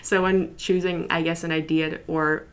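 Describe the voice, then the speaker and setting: High school girl, Polish first language, low voice, relaxed and chatty, talks about herself in an interview. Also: moderate pace, smooth. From New York City, USA. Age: high school senior. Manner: relaxed, chatty, frequent fillers and soft laughs. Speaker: interview guest, student. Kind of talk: casual interview.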